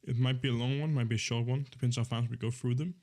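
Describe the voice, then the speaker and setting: A man's voice: mid-range voice, fairly even intonation, fast, darkish tone. A young man in his twenties who streams and chats casually to his viewers, rambling in an easygoing way and often correcting himself. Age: 20s. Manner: rambling, easygoing, with many fillers and self-corrections. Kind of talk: casual live-stream chat.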